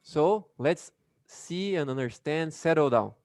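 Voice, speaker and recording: A man's voice: on the high side, expressive, slow, a little mellow. A Brazilian man with a Brazilian Portuguese accent, teaching an English class casually over a video call and chatting with many fillers.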